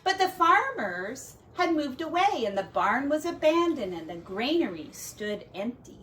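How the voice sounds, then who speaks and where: A woman teacher reading a storybook aloud to children with expressive, deliberate phrasing and casual asides. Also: sits high, distant mic.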